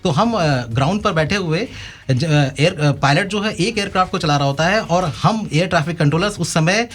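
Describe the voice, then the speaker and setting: Husky Indian man explaining haltingly. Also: high voice, fairly bright tone. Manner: halting, repeats words while explaining. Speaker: air traffic controller guest. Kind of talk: explanatory interview answers.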